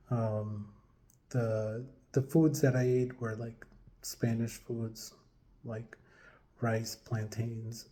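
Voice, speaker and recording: Guy in his 40s, talks slow with lots of ums, dark mellow voice. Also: medium pitch. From upstate New York, US. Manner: hesitant, many ums and restarts, chuckles now and then. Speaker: vlogger. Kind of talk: casual personal storytelling to camera.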